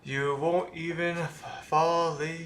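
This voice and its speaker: A woman's voice: low-pitched, steady tone, slow, slight background noise. A woman streamer casually reacting to a game, making offhand remarks. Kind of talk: casual reactions over gameplay. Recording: roomy room sound.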